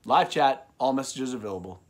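A man in his thirties co-hosting a read-aloud, moving between expressive story reading and casual chat. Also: mid-range voice, brisk. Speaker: co-host of a read-aloud show. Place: small room indoors, on a couch.

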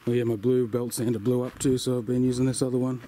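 A man's voice: mid-range voice, fast talker, a little hiss or hum. A man casually narrating his off-grid building project outdoors, easygoing with plenty of ums. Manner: relaxed, easygoing, lots of ums and yeahs. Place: outdoors in bushland and a work shed.

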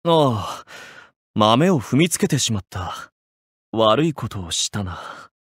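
A man's voice: fairly low pitch, big pitch swings, takes it slowly, breathy edge, no room sound, studio quality, full character voice. A man voicing a proud, refined sword warrior in an anime game, polished and theatrical. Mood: regretful.

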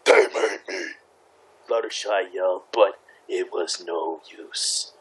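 A man reading a story aloud among friends, halting and stumbling over words between casual remarks. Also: low-pitched, fairly bright tone. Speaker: participant in a group fanfic reading. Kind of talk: amateur read-aloud with casual banter.

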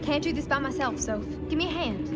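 An actress voicing a cocky young American Southern resistance fighter in a video game, with folksy battle banter. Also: female voice, high voice, lively intonation, fairly quick, distant mic. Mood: urgent. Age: young adult. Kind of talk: video game combat barks. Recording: game music and gunfire behind.

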